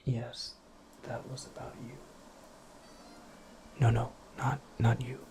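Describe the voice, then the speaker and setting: A performer voicing an eccentric, absent-minded witch in a breathy, creaky ASMR roleplay, rambling with wry, cryptic politeness. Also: mid-range voice, level tone, slow, a little mellow, slight background noise, low-quality recording. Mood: musing, cryptic. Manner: rambling, whimsical, politely formal, self-correcting. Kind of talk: soft-spoken ASMR roleplay monologue.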